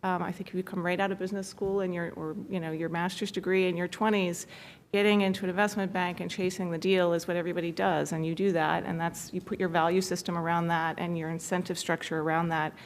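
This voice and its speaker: A woman speaking on a conference panel, answering thoughtfully with frequent pauses and fillers. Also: fairly low pitch, flat delivery, a little hiss or hum. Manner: thoughtful, hesitant with many fillers. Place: conference room.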